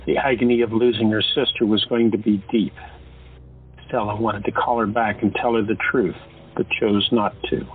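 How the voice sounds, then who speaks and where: A man narrating an audio drama in a steady storytelling manner. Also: on the low side, moderate pace, slightly rough. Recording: tinny phone-like sound, faint music.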